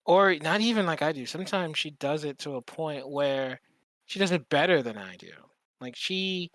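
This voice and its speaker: A man's voice: fairly high pitch, lively intonation, moderate pace, fairly bright tone, no echo. Man hosting a casual podcast, dry close sound. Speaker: podcast host. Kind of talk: casual conversational podcast chat.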